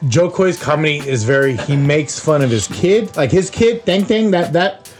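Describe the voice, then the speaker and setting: Slightly high-voiced man chatting casually. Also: normal speed, faint music behind. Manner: relaxed, interjecting, repeats words when starting. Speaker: podcast co-host. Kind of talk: casual chat.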